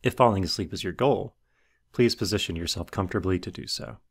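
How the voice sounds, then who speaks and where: Low-pitched man guiding a meditation. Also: husky. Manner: measured, instructional. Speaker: meditation guide. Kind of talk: guided meditation, scripted narration.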